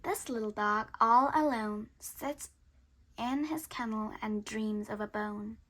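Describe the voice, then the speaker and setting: A woman with a high, bright voice reads a picture book aloud for children. She takes her time over the phrases and performs them dramatically, dropping into hushed whispers and rising into emphatic bursts. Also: slow pace, low-quality recording. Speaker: storyteller reading aloud.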